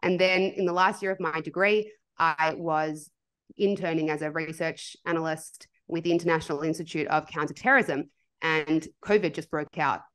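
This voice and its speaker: A woman's voice: deep voice, steady tone, normal speed, fairly bright tone. A young Australian woman hosting a podcast interview, talking in an articulate, engaged way. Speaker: podcast host. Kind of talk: casual interview talk.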